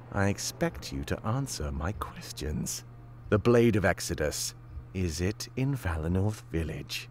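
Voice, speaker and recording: An actor playing a menacing male beast interrogator in a fantasy game, smug and deliberately intimidating. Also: low-pitched, slight background noise, exaggerated voice. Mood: threatening. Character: sinister, menacing anthro beast interrogator, male, smugly threatening.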